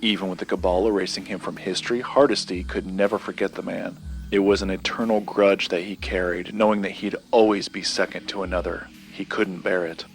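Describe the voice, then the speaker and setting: A man acting in an audio drama as a secretive collector, recounting a dark legend in a measured, deliberate way with dramatic pauses. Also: low voice, fairly even intonation, faint music behind. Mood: measured, intriguing.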